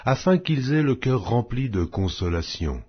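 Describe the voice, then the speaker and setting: Male audio Bible narrator: measured and solemn, expressive melodic delivery with a slightly rough, breathy voice. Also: mid-range voice, highs cut off. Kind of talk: solemn scripture reading.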